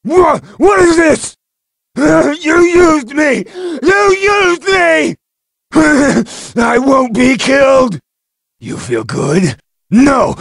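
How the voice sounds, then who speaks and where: Gravelly, high-strained brute fighter. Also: male voice, expressive, extremely slow, dry recording, exaggerated voice. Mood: outraged. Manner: shouting and growling in broken, simple sentences. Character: huge, brutish, sadistic bald muscle-bound male fighter, crude and vengeful. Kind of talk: over-the-top anime fighting game battle lines.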